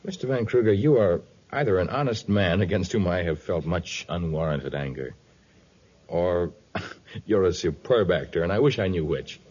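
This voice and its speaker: An actor playing a righteous, stern and fearless jungle hero in a 1950s radio drama, formal and deliberate in delivery. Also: male voice, low-pitched, animated, darkish tone, slightly rough. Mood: wary. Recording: dull vintage 1950s radio recording.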